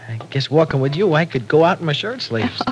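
An American actor playing a lovestruck young tough guy in an old-time radio drama, talking in halting, slangy, streetwise speech. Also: a little high, animated, close to an everyday voice. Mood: shy, smitten. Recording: noisy vintage radio recording.

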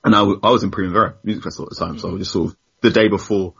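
A young man with a deep, husky voice tells stories as a podcast guest. He talks animatedly, laughs often and acts out what people said. The sound is dull and lo-fi. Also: fairly even intonation, rapid-fire, a bit bright. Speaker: guest journalist. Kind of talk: casual podcast conversation.

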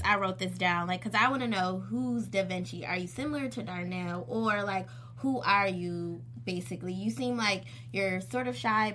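A woman's voice: medium pitch, sharp tone, a little hiss or hum. A woman co-hosting a talk show, asking curious, loosely phrased questions. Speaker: co-host. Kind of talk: casual interview chat.

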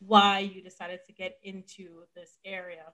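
A woman's voice: medium pitch, little pitch movement, moderate pace, fairly bright tone, rough recording. A woman hosting a podcast interview, upbeat and casual, with many fillers. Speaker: podcast host.

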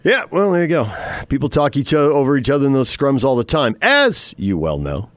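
A man's voice: mid-range voice, very animated, fairly quick, no echo. A male sports radio co-host who defends himself with laughing, playful banter.

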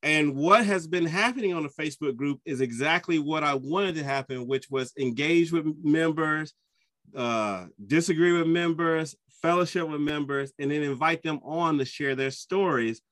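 A man hosting a soccer podcast, chatty and enthusiastic, often jumping up in pitch when he gets excited. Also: normal speed, dry recording. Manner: enthusiastic, chatty, with sudden upward pitch jumps. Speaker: podcast host. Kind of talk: casual podcast interview.

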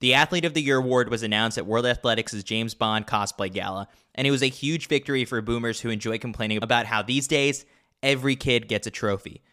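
A man with a clear, smooth voice narrates sports news from a script. He delivers it at a middling pitch, with dry, tongue-in-cheek asides. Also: a little fast, sharp tone. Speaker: podcast reader of a sports newsletter. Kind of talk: scripted narration with wry commentary.

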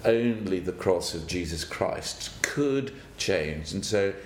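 An older man from South Africa, a banker who tells his life story in an interview, speaking in a halting, thoughtful way and chuckling now and then. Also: animated. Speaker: guest, banker. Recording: roomy with faint rumble.

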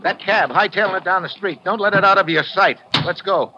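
A wisecracking correspondent. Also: male voice, high-pitched, a little fast. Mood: urgent. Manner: wisecracking, flirtatious. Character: wisecracking American foreign correspondent, flirtatious. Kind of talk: 1940s radio acting. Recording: dull old radio recording with music.

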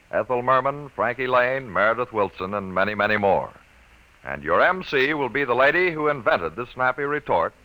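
Animated old-time radio announcer, male. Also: mid-range voice. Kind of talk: 1950s dramatic radio announcing. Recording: noisy old radio broadcast.

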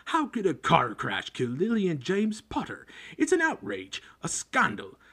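A man reading an audiobook, voicing a big rough-spoken groundskeeper who talks in homely, clipped speech. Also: mid-range voice, animated, normal speed. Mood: outraged. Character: big rough-spoken male groundskeeper, gruff but kind. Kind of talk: audiobook character voice.

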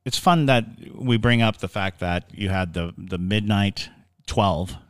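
A man co-hosting a podcast, explaining lore in a halting, conversational way and repeating words as he forms thoughts. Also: lowish voice, clean tone, no room sound, clean studio sound. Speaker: podcast co-host.

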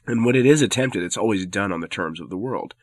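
A man's voice: on the low side, expressive, quick pace, no echo, studio quality. A man with a head cold and a stuffy voice, hosting his own commentary show, arguing his points firmly with the odd sardonic aside. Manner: firm, argumentative, occasionally sardonic. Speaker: commentary podcast host. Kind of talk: spoken opinion commentary.

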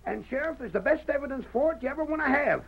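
An actor playing an agitated rustic American neighbor in an old radio Western, folksy and stammering, with a cracked raspy voice. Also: male voice, very high-pitched, animated, fast talker, full character voice. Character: agitated rustic frontier neighbor bringing bad news. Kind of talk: 1940s Western radio drama acting. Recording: tinny lo-fi old radio recording.

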